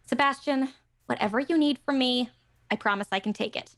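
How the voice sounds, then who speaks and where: A conceited young starlet, haughty and sharp-tongued. Her voice sits fairly high. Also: a little fast. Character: conceited young influencer actress, Irene.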